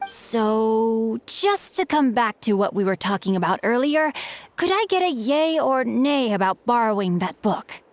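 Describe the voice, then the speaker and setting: Young gentleman swordsman, video game, highish boyish pitch, bookish, courteous, eloquent and theatrical, music behind. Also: expressive, a little slow. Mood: grateful, dramatic. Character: studious, polite, chivalrous young male swordsman bookworm.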